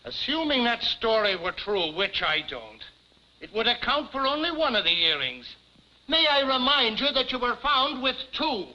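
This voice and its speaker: An actor playing a blustering, sarcastic and suspicious police chief in a 1950s television comedy, exasperated and declamatory. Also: male voice, very high-pitched, big pitch swings, moderate pace, pushed and bright, heavily acted. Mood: sarcastic, impatient. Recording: muffled 1950s television soundtrack.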